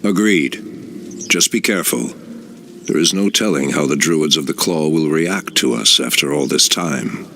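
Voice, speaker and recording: A man voicing an ancient, grave elf druid leader in a game cutscene, speaking in a deep, solemn voice. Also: measured pace, creaky, slight background noise, exaggerated voice. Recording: voice over loud music.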